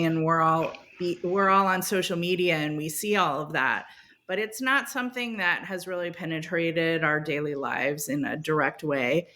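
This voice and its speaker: A woman's voice: deep voice, fairly bright tone. A woman, a guest in a casual interview, speaking thoughtfully with frequent hesitations. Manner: thoughtful, many ums and hesitations. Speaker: venture capital guest.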